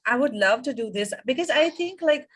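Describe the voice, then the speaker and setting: A woman's voice: high voice, lively intonation, normal speed, fairly bright tone, slightly rough. Young woman chatting on a call. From India. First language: Gujarati. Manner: chatty, many fillers and hedges. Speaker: guest. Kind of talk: casual video call chat.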